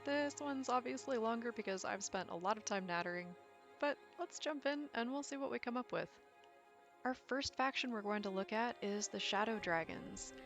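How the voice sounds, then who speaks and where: A woman's voice: on the high side, lively intonation, moderate pace, a bit bright. A woman giving a casual, explanatory talk as a gaming video host, chatty and informal with self-deprecating asides. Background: music.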